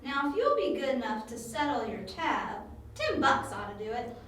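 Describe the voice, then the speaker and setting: A woman's voice: on the high side, sing-song, moderate pace, fairly bright tone. A woman acting a sassy, street-smart young woman in an amateur audio drama, sardonic and flippant. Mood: flippant, bargaining. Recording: distant, very echoey room, faint noise.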